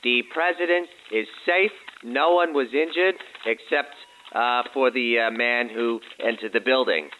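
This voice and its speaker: A man's voice: medium pitch, slight background noise. Male government spokesman, radio drama, hesitant, official, thin phone-like sound. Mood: official, halting. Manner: halting, hesitant official statements. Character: halting male government press secretary addressing reporters. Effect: band-limited archival broadcast filter. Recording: thin, phone-like press briefing tape.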